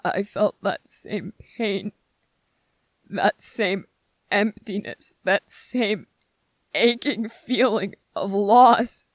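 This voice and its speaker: Someone acting a gentle young soldier guy grieving, asmr roleplay, real slow and halting, choked up, dry close sound. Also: medium pitch, no echo. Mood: grieving, aching. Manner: halting, emotional, earnest, short broken phrases. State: emotional, close to tears. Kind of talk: ASMR roleplay, intimate emotional monologue to listener.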